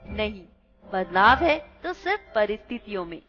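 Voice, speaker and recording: A woman's voice: medium pitch, sing-song, normal speed. A woman narrating a radio play, addressing listeners directly and expressively, in a muffled recording with music behind.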